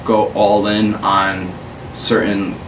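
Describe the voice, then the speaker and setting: A man's voice: on the low side, little pitch movement, slow pace. A young man hosting a podcast, giving casual, rambling advice with lots of fillers. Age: young adult. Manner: laid-back, rambling advice with many fillers. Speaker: podcast host. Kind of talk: casual chat. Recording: roomy sound from a distant mic with faint hiss.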